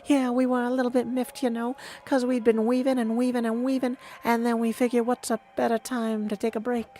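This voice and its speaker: A man's voice: very high-pitched, level tone, a little fast, warm tone, pitched above the performer's own voice. A man voicing a breezy, folksy goddess of fate from a video game, chummy and offhand. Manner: offhand, chummy, calls listener sweetie. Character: breezy, folksy female Fates, casual elder goddesses. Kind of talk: video game character practice.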